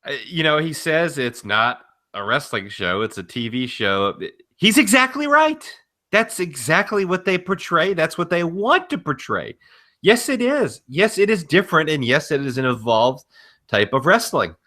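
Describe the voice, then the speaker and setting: A man co-hosting a podcast, giving opinions in casual chat with measured, emphatic repetition. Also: on the high side, very animated, sharp tone. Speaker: podcast co-host.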